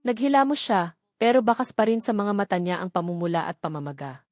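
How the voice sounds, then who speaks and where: Filipino lady reading a drama audiobook, deliberate n emphatic, medium pitch, really dry close sound. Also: no echo. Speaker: audiobook narrator. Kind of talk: scripted dramatic story narration.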